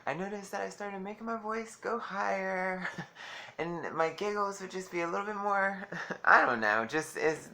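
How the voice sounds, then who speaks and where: A soft-voiced man vlogging in a chatty, giggly way, calling listeners honey. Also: mid-range voice. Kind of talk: casual talk to camera.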